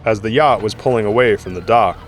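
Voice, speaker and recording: A man's voice: lowish voice, fairly quick. A man reading scripted third-person narration for an audio drama.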